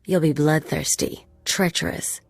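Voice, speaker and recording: Cool game villainess. Husky, gravelly female enforcer, menacing and flirty, faint music behind. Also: deep voice, fairly even intonation, no echo, heavily acted. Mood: cool, teasing menace. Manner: teasing, threatening, unhurried. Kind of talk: video game voice acting.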